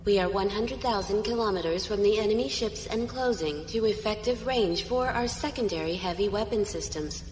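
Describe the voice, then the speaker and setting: Woman doing calm bridge officer lady reading out status, matter of fact, big echoey hall reverb, sci-fi audio drama. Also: medium pitch, moderate pace, hardly any pauses, a little hiss or hum, lightly acted. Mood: detached, businesslike. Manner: clinical, matter-of-fact reporting.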